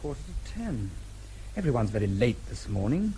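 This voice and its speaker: An actor playing a punctual, unflappable male consular official in a radio drama, speaking in a formal, dry, measured way. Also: mid-range voice, sing-song, dark tone, a little hiss or hum, lightly acted, poor audio. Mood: dry, composed.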